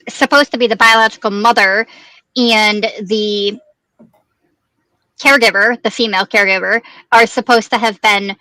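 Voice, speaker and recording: Bright-voiced woman, close and dry. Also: a little high, dry recording, poor audio. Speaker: guest commentator on a true crime livestream. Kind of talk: casual discussion of a news case.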